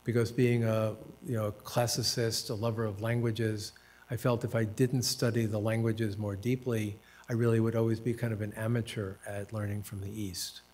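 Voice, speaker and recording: A man in his seventies from the United States, an author and professor telling stories of his life at a panel talk, unhurried and self-deprecating, with dry humor and hesitant fillers. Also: fairly low pitch, flat delivery, darkish tone. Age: 70s. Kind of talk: reflective personal storytelling at a panel talk.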